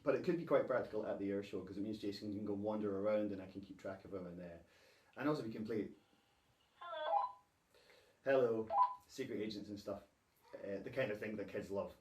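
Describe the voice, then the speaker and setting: A man's voice: on the low side, brisk, long pauses, a little mellow. A man chatting informally and playfully, as a father does in a casual vlog. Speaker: vlogger and father. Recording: echoey, with clear background noise.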